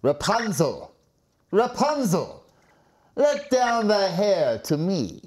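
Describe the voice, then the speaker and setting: Storybook enchantress, played by a male reader: menacing and imperious, slow drawn-out delivery, raised pitch. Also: wildly swooping pitch, a little mellow, a little hiss or hum, exaggerated voice. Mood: calling out. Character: menacing, commanding fairy-tale enchantress, adult female.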